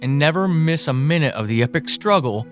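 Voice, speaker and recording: A man's voice: a little high, lively intonation, brisk, over music. A man hosting a podcast, speaking in an encouraging way straight to his listeners. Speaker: podcast host. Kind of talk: conversational interview with promotional narration.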